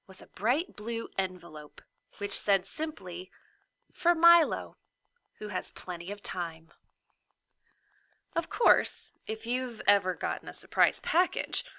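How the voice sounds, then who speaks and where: Woman reading kids story out loud, real slow n dramatic, bit of creak, very dry close sound. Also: medium pitch, no echo. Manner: slow, deliberate, emphatic phrasing with dramatic hushes. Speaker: teacher reading aloud for a class. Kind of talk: expressive children's story read-aloud.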